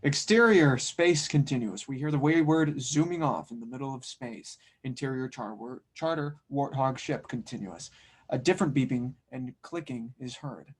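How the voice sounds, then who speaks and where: A man with a mid-pitched voice serves as narrator. He reads stage directions and scene headings in a scripted style for an audio drama table read. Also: animated, moderate pace, slightly rough.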